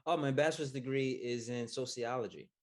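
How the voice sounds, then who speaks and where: A man in his thirties from the United States, answering interview questions about his work as an acupuncturist in a soft-spoken way, with many pauses and fillers. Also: mid-range voice. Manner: soft-spoken, many ums, laughs easily. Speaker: acupuncturist guest.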